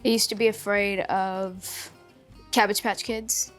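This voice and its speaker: Young woman, mid-pitch, loose chatty storyteller, soft music underneath. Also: flat delivery, slow. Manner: chatty, trails off with fillers like "like". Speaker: actress, interview guest. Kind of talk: casual rapid-fire chat.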